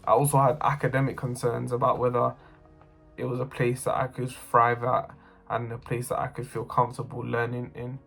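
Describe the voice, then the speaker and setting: A young man, a student giving a plain, earnest personal account with short pauses. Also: medium pitch, monotone, creaky, fairly bright tone, soft background music. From North London. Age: young adult. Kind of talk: personal testimony to camera.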